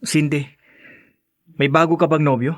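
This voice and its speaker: An actor playing an earnest young brother in a Filipino audio drama, serious and reproachful. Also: male voice, on the high side, fairly even intonation, normal speed, no room sound, clean studio sound, close to an everyday voice. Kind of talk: Filipino podcast radio drama acting.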